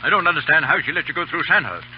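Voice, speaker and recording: An actor in an old radio drama playing a stern, middle-aged British colonel, aloof and clipped in manner. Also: male voice, fairly high pitch, animated, very fast, slight background noise, natural voice. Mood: gruff, awkward. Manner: clipped, gruff, commanding. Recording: muffled old radio broadcast.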